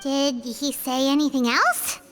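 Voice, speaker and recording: A woman voicing a small, childlike, excitable and chatty fairy companion in a video game, with a squeaky voice. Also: measured pace, soft background music. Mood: curious, uneasy. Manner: speaks of herself in third person. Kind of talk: video game dialogue acting.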